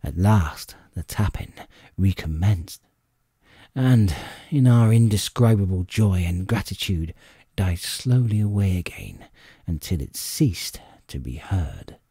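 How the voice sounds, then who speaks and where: A man narrating an adventure audiobook in an expressive, measured storytelling manner. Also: low-pitched, slightly rough, dry recording, clean studio sound. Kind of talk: dramatic scripted storybook narration.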